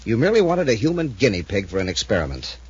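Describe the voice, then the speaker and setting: An actor playing an arrogant, calculating criminal genius in a 1950s radio adventure, with a smug, commanding and coldly impatient manner. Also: male voice, on the low side, big pitch swings, fast talker, husky, exaggerated voice. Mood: cold, menacing. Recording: muffled 1950s radio recording.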